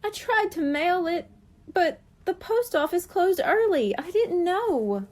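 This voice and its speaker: Eager little mermaid kitty girl read by a woman, high and bouncy. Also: lively intonation, slow pace, exaggerated voice. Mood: apologetic. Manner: eager, pleading. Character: earnest young mermaid kitten girl.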